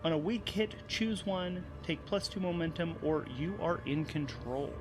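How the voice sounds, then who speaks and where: A man's voice: fairly high pitch, measured pace. A man hosting and narrating a solo tabletop role-playing game, thinking aloud as he goes. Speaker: host, narrator and game master. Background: background music.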